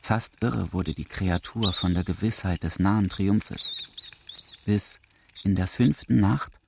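A man narrates literary prose for a radio drama in a measured, deliberate, emphatic way. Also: low voice. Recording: clearly noisy recording with clicks.

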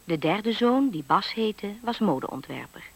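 A woman narrating a fairy tale for a 1970s radio play in a composed, measured storytelling manner. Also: medium pitch, expressive, slightly rough.

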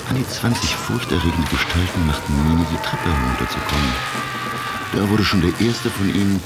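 A man playing a scholarly narrator who recounts a sea voyage in a measured, literary way for a radio drama. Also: expressive. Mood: uneasy recounting. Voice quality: very deep, gravelly. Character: Professor Aronnax, older scholarly narrator recalling his sea voyage. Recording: radio drama with loud music behind. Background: music.

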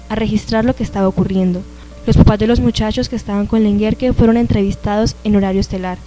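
A woman's voice: mid-range voice, mellow, rough recording. A woman giving scripted narration for a radio drama.

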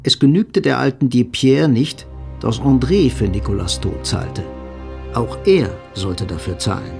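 Man, literary drama narrator, gravelly fry, medium pitch, sober, measured. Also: lively intonation, darkish tone, faint music behind.

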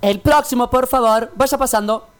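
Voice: medium pitch, normal speed, clean tone, natural voice. An actor playing a fawning, eager assistant who parrots the boss in a comic radio play. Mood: eager, servile. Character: fawning, eager assistant to a casting director, Orlando.

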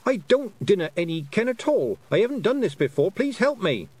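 A man's voice: sits high, big pitch swings, normal speed, a little mellow, slightly rough, no room sound, voice pushed up, heavily acted. A man voicing an earnest, determined but anxious young girl in a storybook reading. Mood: pleading.

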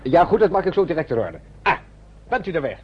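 An actor playing a jovial, chatty doctor with a husky voice and an effusive manner in a radio play. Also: male voice, on the high side, big pitch swings, quick pace, a little hiss or hum. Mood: jovial, pleased. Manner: effusive, repeats little tag words. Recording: dull old radio recording.

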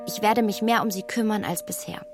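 An actress playing a self-assured, lively young lady with a pert, confident manner in a literary radio play. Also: medium pitch, a little fast, vocal fry, music in the background, close to an everyday voice. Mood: resolute.

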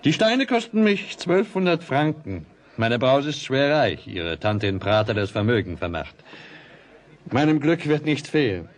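An actor playing an elegant young French bridegroom in a radio play, boastful, impulsive and hot-headed, given to exclamations. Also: male voice, mid-range voice, sing-song, measured pace. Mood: boastful, amused. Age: young adult. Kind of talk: radio play dialogue acting.

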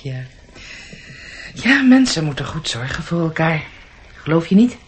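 A woman's voice: deep voice, sing-song, unhurried, slight background noise. An actress playing a kindly, homely old country woman in a radio play.

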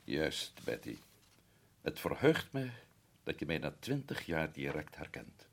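A man's voice: rumbling low, very animated, measured pace, creaky, fairly bright tone, slightly rough, full character voice. An actor playing a sardonic middle-aged man, back from years of adventure abroad, in an old radio play. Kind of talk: radio drama acting.

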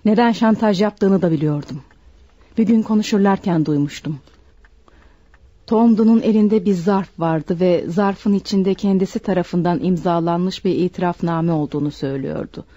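An actress playing a desperate, bitter servant woman confessing to murder in a deliberate, dramatic radio play style. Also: lowish voice, dark tone, no echo, lightly acted. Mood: anguished confession. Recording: dull, dry radio recording.

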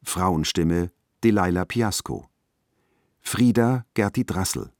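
A man announcing radio drama title and cast credits in a formal, measured manner. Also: sits low, no room sound, studio quality.

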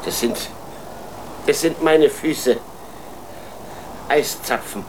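A man's voice: on the high side, drawn-out words, slight background noise, poor audio. A man playing a grumbling, freezing king and father in a children's radio play, terse and plain-spoken. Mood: weary, longing.

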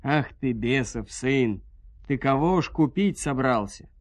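A man's voice: medium pitch, expressive, unhurried, no echo. Man playing a proud, defiant folk hero. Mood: scornful. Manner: declamatory, resolute. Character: proud defiant young heroic warrior of folk tale.

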